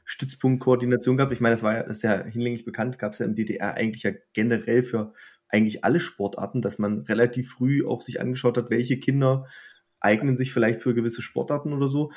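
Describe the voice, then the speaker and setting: A man's voice: mid-range voice, a little mellow, husky. A man hosting a casual interview, asking long, friendly questions and nudging the guest on with short replies. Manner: friendly, encouraging with short backchannels. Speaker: interviewer, host.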